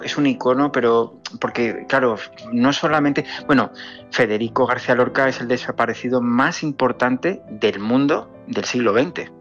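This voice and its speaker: A man's voice: medium pitch, fairly bright tone. Spanish man interviewed, slightly dull sound over music. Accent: Spain. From Andalusia, Spain. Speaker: film director being interviewed. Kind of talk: conversational interview answers.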